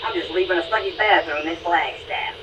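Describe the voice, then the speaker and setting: A man's voice: fairly high pitch, a little fast, close to an everyday voice. A man playing a folksy old trucker who rambles in CB slang over the radio. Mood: chatty. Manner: rambling CB slang, good-buddy banter. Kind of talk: CB radio chatter. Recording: distant, noisy CB radio transmission.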